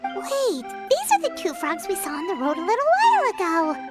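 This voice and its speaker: A woman's voice: wildly swooping pitch, normal speed, exaggerated voice. A woman playing a tiny, childlike, perky fairy companion in a video game, blunt and curious. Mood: curious, helpful. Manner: squeaky, perky, speaks in third person. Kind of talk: video game cutscene acting. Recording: music bed behind voice.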